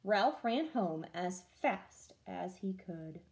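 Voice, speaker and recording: A woman teacher reading a children's storybook aloud in an expressive storytelling style. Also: mid-range voice, slow.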